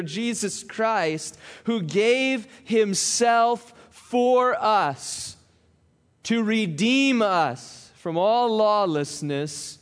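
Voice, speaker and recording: A man preaching a sermon, reading scripture slowly and then talking in a conversational way with small asides to the congregation. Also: high voice. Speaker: pastor.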